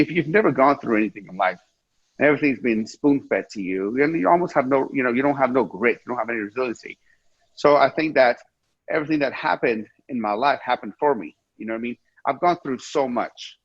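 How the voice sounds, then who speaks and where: A man's voice: mid-range voice, husky, no room sound. A man in his forties from Iran, a guest on an interview over a video call, talking earnestly with many repeats and restarts. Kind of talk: casual interview talk. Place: indoor room with ceiling fan, video call. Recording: muffled dry video call audio.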